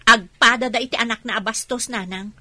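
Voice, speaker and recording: Young poor vendor, Filipino accent, high-pitched, bright, earnest, defiant, radio drama. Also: female voice, fairly even intonation, fairly quick, no echo. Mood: aggrieved. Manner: earnest, firm when wronged. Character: proud young woman vendor, poor, dutiful but defiant.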